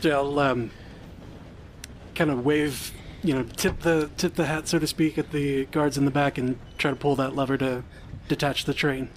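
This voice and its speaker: A man playing a tabletop game, describing his moves casually in a measured, halting way. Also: mid-range voice, fairly even intonation, a little hiss or hum.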